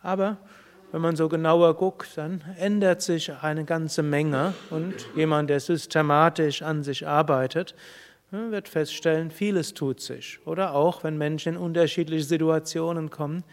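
A man with a fairly high voice gives a spiritual talk in a hall with a long echo. He speaks in a calm, measured way, pausing often and humming as he thinks. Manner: calm, measured, with pauses and hums. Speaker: spiritual teacher. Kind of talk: spiritual talk to a live audience.

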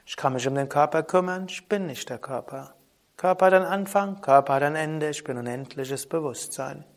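A man teaching a spoken lesson on yoga philosophy in a calm, measured way, often asking a soft "hm?". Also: fairly high pitch. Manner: calm, measured, with frequent soft trailing drops and questioning "hm?". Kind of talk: spoken lesson on Vedanta terms.

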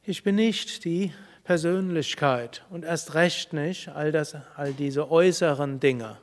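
A man giving a calm spiritual talk to a live audience, speaking in a measured way with pauses and thoughtful hums. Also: fairly high pitch, big-room sound. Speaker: spiritual teacher.

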